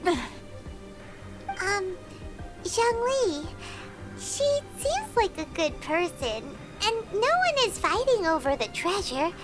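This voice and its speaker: An extremely high, childlike little fairy for a video game. The delivery is unhurried and swoops cutely, kind and pleading, over loud music. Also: female voice, big pitch swings, exaggerated voice. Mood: gently pleading.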